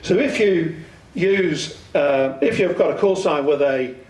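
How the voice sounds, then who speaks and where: Older male lecturer, deliberate and questioning, slightly raised pitch, recorded at a distance from the microphone. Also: lively intonation, darkish tone. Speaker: speaker giving an amateur radio talk. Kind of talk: instructional lecture with questions to the audience. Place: community centre meeting room. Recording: echoey hall, distant microphone.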